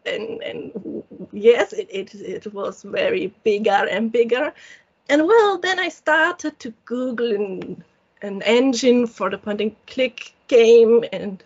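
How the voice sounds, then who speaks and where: German woman, halting careful English. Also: fairly high pitch, dull audio. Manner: halting, searching for words, frequent restarts. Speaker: solo indie game developer. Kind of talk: casual interview answers.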